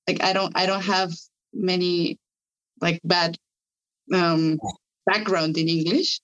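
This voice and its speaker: A woman's voice: on the low side, fairly even intonation, slow pace, fairly bright tone, highs cut off. A woman whose first language is Spanish, speaking English with a Spanish accent in a casual conversation, eager, with lots of fillers and restarts. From Spanish-speaking country. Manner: enthusiastic, many fillers and restarts.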